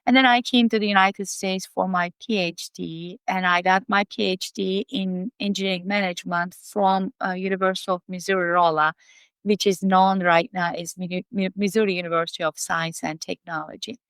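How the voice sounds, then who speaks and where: A woman's voice: fairly low pitch, fairly even intonation, normal speed, fairly bright tone, clear, resonant tone, dry recording. A woman in her fifties or older with a Turkish accent, answering interview questions as a college dean. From Turkey. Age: 50s or older.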